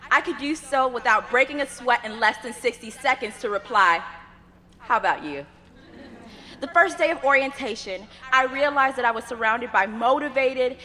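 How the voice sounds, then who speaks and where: A woman's voice: on the high side, sharp tone. Earnest young woman speaking in a reverberant hall. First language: Yoruba. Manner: earnest, measured oratory with rhythmic lists. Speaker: graduate student speaker. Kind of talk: commencement address. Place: graduation ceremony venue. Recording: long reverb of a large ceremony space.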